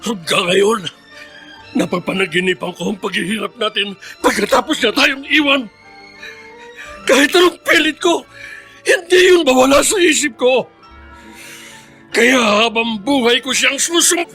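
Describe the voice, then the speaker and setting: An actor with a Filipino accent playing a bitter, emotional son who cannot forgive, in a radio drama. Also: male voice, very high voice, unhurried, long pauses, bright, forceful tone, faint music behind, heavily acted. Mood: anguished. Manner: harsh, emotional, cutting.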